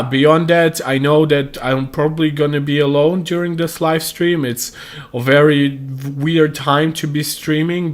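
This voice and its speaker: A young man hosting a tech livestream, with a deep voice made hoarse by a cold, talking casually with lots of ums and uhs. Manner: rambling with many ums and uhs.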